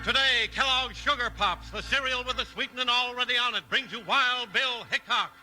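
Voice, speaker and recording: A man's voice: very high-pitched, normal speed, pushed and bright, soft background music, low-quality recording. A man doing polished, emphatic scripted announcing and narration for a 1950s radio show. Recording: old 1950s radio recording.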